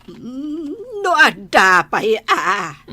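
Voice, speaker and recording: A woman plays a long-suffering Ilocano village wife and mother. She speaks slowly and plaintively in a sharp, bright tone, in comedy drama style. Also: a little high, very animated, no room sound, full character voice. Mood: mild nagging.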